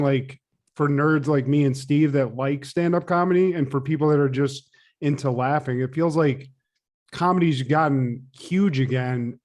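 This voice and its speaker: A man's voice: medium pitch, darkish tone. A man co-hosting a podcast, asking questions in a casual, conversational way. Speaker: podcast co-host.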